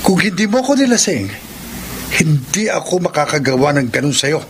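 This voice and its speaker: An actor playing a guilty, evasive husband in a radio drama, stammering and halting, with a Filipino accent. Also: male voice, on the high side, big pitch swings. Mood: defensive, pleading. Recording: noisy radio drama track.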